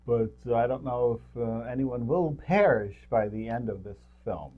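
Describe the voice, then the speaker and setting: A middle-aged man co-hosting a movie review show, chatting casually about films in halting, rambling explanations. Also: mid-range voice, phone-quality sound.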